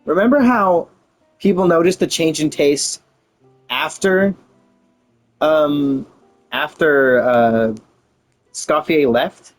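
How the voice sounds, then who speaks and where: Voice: medium pitch, slow pace, long pauses, soft background music. A game streamer giving casual commentary during a playthrough.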